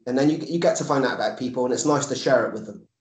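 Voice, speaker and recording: An Englishman in his forties chats casually in an echoey room. He rambles and repeats himself, with a blunt turn of phrase, at an ordinary male pitch. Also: rapid-fire. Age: 40s. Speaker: podcast guest, MMA coach and fighter. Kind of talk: casual chat.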